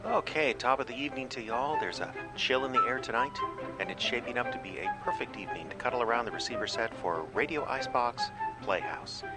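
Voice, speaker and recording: A man's voice: medium pitch, expressive, fairly quick, over loud music, rough recording. A man hosting small-town radio in a folksy, informal way, talking a little hesitantly. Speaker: radio host and announcer.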